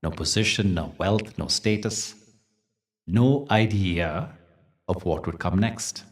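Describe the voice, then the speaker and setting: A man hosting a guided meditation, speaking and telling stories in a gentle, deliberate, soothing way with dramatic pauses. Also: deep voice, distant mic. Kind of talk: guided meditation talk with storytelling.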